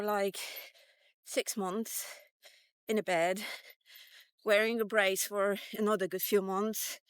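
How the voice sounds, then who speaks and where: A woman's voice: on the high side, a bit bright, no echo. Female vlogger, 50s: very leisurely pace, dry close sound, frank conversational storytelling with some swearing. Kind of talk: casual personal storytelling.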